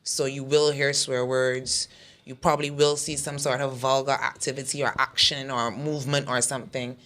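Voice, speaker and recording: A woman with a Barbadian accent and a deep, raspy voice, talking bluntly as a comedian guest in a casual interview. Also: flat delivery, moderate pace, fairly bright tone. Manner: blunt, aggressive tone.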